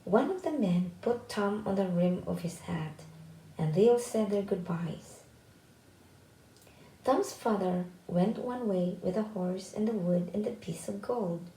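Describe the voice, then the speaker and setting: Slow, gravelly woman reading a children's story. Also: medium pitch, mellow, echoey room, poor audio. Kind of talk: scripted children's story narration, read aloud.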